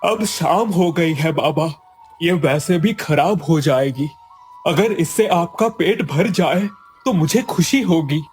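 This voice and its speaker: A man's voice: a little high, expressive, slow pace, darkish tone, soft background music. A man playing a poor, kind-hearted young villager in a cartoon moral story, earnest and pleading. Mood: humble, respectful.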